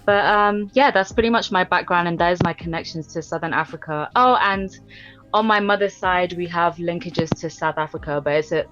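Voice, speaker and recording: A woman with a British accent speaks in a lowish voice, explaining history at length on a podcast and dotting her talk with "like" and "um". The audio is dull and lo-fi. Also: fairly quick, a bit bright, soft background music. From Zimbabwe, raised in the UK. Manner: explains at length, with many fillers like "like" and "um". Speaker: podcast guest, history blogger.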